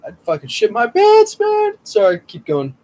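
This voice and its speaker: A man hosting a casual podcast, talking in a rambling, excitable way with lots of swearing. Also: very high-pitched, moderate pace. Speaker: podcast host.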